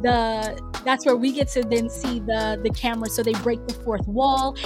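A woman's voice: on the high side, steady tone, normal speed, music in the background. A woman hosting a casual solo podcast, a little nasal from a cold, talking in a chatty, rambling way with restarts. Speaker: podcast host.

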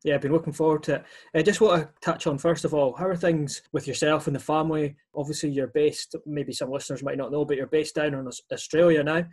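A man's voice: fairly high pitch, steady tone, fast, hardly any pauses, husky. A man hosting a podcast, asking friendly, long winding questions. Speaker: podcast host. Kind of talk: interview questions.

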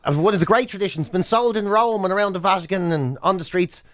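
A man's voice: high voice, quick pace, fairly bright tone, no room sound, clean studio sound. An Irish man co-hosting a comic radio show, chatting casually in a wry, irreverent way.

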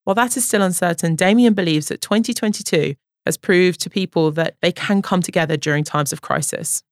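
A woman's voice: lowish voice, fairly quick, no echo, studio quality. A woman hosting a news podcast, reading scripted narration between interview clips. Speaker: podcast host.

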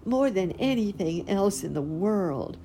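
A woman's voice: medium pitch, expressive, measured pace, mellow, slight background noise, pitched above the performer's own voice. A woman voicing an eager, hopeful young male caterpillar in a storybook reading. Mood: pleading.

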